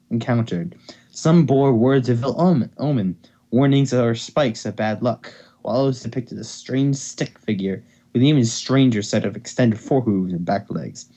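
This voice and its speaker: A man's voice: mid-range voice, mellow. A man hosting an amateur reading of fan fiction, informal and sometimes stumbling over his words. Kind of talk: amateur dramatic story reading. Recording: dull lo-fi home microphone.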